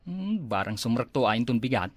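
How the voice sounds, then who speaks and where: Inquisitive Ilocano man with lively pitch, acted. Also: normal speed, no room sound. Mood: curious. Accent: Ilocano Filipino. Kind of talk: amateur Ilocano comedy drama acting.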